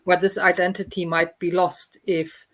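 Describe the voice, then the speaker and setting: A woman's voice: lowish voice, little pitch movement, normal speed, fairly bright tone. Woman chatting thoughtfully in a book discussion. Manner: ends statements with a questioning tag.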